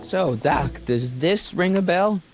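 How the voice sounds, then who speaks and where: A man playing an earnest, quick-witted teenage boy sidekick in a video game, with a casual, coaxing way of talking. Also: a little high, expressive, soft background music. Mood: curious. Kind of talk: animated video game character acting.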